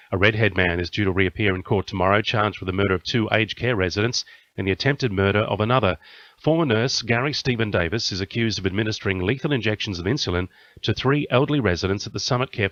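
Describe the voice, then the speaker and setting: An Australian man reads scripted news in a fairly low voice. He delivers it as a bulletin newsreader. The recording is very dry, with a slightly muffled top end. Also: fairly bright tone, no room sound. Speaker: news reader.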